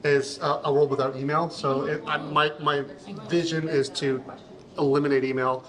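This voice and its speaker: A middle-aged man from Canada with a big voice, a guest chatting casually at an awards reception, halting and repeating words as he thinks. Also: a little high, flat delivery, fairly bright tone. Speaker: interview guest, accountant. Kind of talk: casual event interview. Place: busy reception event.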